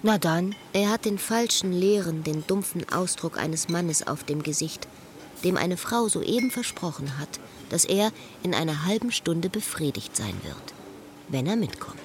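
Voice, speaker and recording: A woman's voice: fairly low pitch, lively intonation, unhurried, background noise. An actress playing a bitter, watchful woman who narrates and talks to a former lover in a sardonic, observant way in a literary radio play. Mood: detached, observant.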